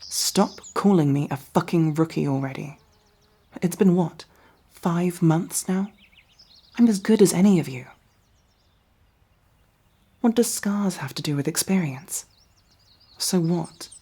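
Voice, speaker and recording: A woman playing a proud but nervous young elf rogue in an ASMR roleplay, with wry, halting delivery. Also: fairly low pitch, dark tone, husky, heavily acted. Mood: wry, defensive.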